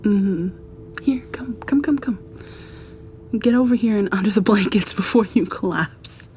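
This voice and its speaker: A woman playing an affectionate, playfully dominant girlfriend in an intimate ASMR roleplay, murmuring softly and breathily to the listener, often sliding into whispers. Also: unhurried, lightly acted. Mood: affectionate, cozy. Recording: audible background hiss with low rumble.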